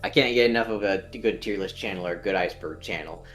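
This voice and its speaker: A man's voice: low-pitched, fast, a bit bright, soft background music. A young man with a nasal voice, chatting casually on a live stream, rambling with many ums and restarts. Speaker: stream co-host.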